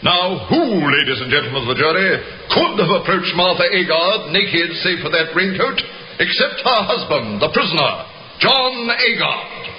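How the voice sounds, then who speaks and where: An actor playing a forceful, accusing prosecutor addressing a jury with declamatory 1950s radio drama oratory. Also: male voice, fairly high pitch, big pitch swings, normal speed, full character voice. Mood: accusing, emphatic. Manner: declamatory, emphatic. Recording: echoey, noisy 1950s radio recording.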